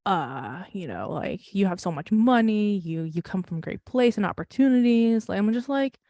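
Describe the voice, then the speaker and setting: A woman's voice: medium pitch, lively intonation, normal speed, mellow, no room sound, studio quality. A young woman co-hosting a podcast in casual chat, rambling with lots of fillers, laughing often and swearing. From United States. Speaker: podcast co-host.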